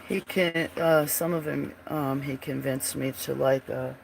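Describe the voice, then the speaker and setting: A man with a froggy, gravelly voice talks slowly and loosely, drifting in and out as he turns away from the mic. A faint hiss sits under the home recording. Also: medium pitch, fairly even intonation, poor audio. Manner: slow, meandering, trailing off while searching. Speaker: livestream host showing his drawings. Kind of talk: casual rambling commentary.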